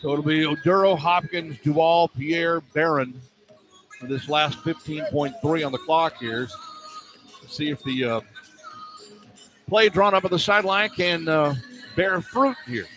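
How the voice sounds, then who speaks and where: A man's voice: on the high side, a little slow, long pauses, a bit bright, soft background music, rough recording. A man working as a radio play-by-play announcer, calling live basketball in clipped running phrases from a courtside spot. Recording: live arena broadcast, clear background noise. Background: arena ambience and noise.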